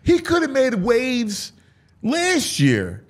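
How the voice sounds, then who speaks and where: A man's voice: extremely high pitch, wildly swooping pitch, slow pace. An American man hosting a sports talk show in casual banter, repeating and restarting phrases for emphasis.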